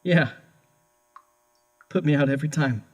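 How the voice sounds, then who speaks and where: Man as a husky, subdued young son. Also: fairly high pitch, unhurried. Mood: resigned, tender. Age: young adult. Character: weary, submissive young adult son. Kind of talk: horror radio drama acting.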